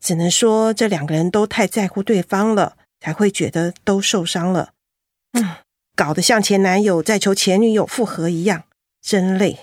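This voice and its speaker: A woman's voice: mid-range voice, moderate pace, a little mellow, no echo, studio quality, close to an everyday voice. An actress playing a sarcastic, exasperated woman in a radio drama. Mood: weary, resigned. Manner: wry, chiding. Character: world-weary, sarcastic woman, exasperated with men.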